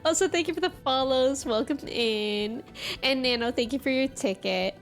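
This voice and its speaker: High-voiced woman chatting on a livestream. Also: normal speed, soft background music. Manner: giggly, chatty, playful. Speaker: game streamer and host. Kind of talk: casual livestream chat.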